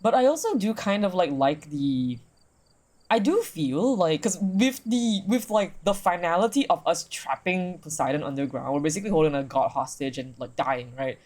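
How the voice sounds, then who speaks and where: A streamer talking casually and excitedly through a visual novel, with slangy, sweary commentary. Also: medium pitch, moderate pace. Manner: animated, slangy, profane.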